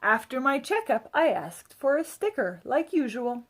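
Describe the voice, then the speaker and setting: Woman storyteller, high-pitched, very animated, children's read-aloud. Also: normal speed. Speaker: storybook reader.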